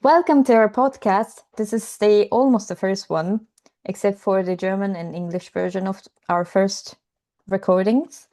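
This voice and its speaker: A woman's voice: mid-range voice, fairly even intonation, a little slow, warm tone. A young woman co-hosting a casual podcast, cheerful and giggly as she asks the questions. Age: young adult. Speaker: podcast co-host, language teacher. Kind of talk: casual chat.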